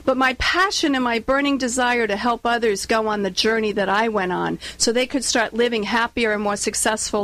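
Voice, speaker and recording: A middle-aged woman with a Rhode Island accent, a business coach telling her story in an upbeat, expressive way as a radio interview guest. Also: fairly high pitch. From Rhode Island, USA.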